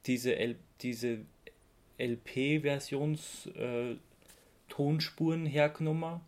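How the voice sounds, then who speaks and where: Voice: mid-range voice, fairly even intonation, very slow, a little mellow. A podcast co-host with an Austrian accent, chatting casually and explaining things in a conversational way. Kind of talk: casual chat about radio plays.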